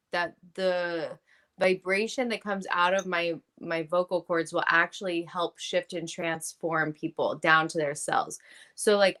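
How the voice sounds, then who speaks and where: A woman who is a publisher and coach, speaking as a guest on a casual podcast in an energetic, earnest way and laughing often. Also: lowish voice. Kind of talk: casual interview chat.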